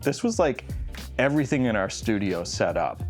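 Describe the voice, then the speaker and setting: A man's voice: on the low side, sing-song, normal speed, soft background music. A man on a video crew giving casual behind-the-scenes commentary.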